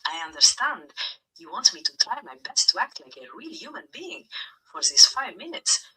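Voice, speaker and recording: A synthetic female chatbot voice with a very bright, breathy tone that swings through a lively pitch range. She answers politely but sternly, with formal phrasing. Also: moderate pace, rough recording. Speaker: AI chatbot persona. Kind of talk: formal scripted replies.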